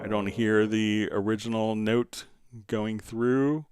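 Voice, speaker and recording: A man hosting a live software tutorial, answering viewer questions casually and thinking aloud in a halting way as he demonstrates. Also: lowish voice, steady tone, loud music behind the voice.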